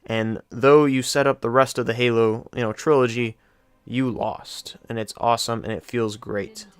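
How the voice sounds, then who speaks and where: Clear-voiced man doing gaming commentary. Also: mid-range voice, fairly even intonation, moderate pace, no echo. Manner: informal, enthusiastic. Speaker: gaming video host. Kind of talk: casual countdown commentary.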